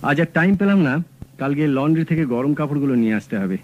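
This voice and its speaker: An actor playing a calm, shrewd male detective in a radio drama, talking in a measured, dry, matter-of-fact way. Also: on the high side, muffled recording. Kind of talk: 1970s radio drama acting.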